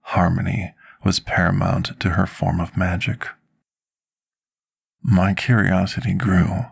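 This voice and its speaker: A man playing a brooding, formal-spoken potion master who tells his past in an intimate, measured ASMR roleplay monologue, with a gravelly, husky voice. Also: low voice, full character voice. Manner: formal, archaic, measured storytelling diction.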